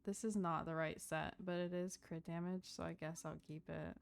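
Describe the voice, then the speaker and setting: A woman's voice: on the low side, little pitch movement, normal speed, vocal fry, a little hiss or hum. A woman livestreaming a video game, chatting casually in a rambling, easygoing way about play. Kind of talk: casual chat, gameplay commentary. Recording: game music behind. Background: video game music and character voices.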